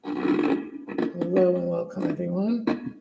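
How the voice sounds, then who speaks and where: A man with a somewhat high, raspy voice talks on a tarot livestream. He meanders, restarts his sentences and leans on fillers. His voice bounces around an echoey room at home. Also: fairly even intonation, takes it slowly, muffled recording, background noise. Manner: meandering, many restarts and fillers, spiritual talk. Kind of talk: rambling live-stream monologue. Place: apartment at home.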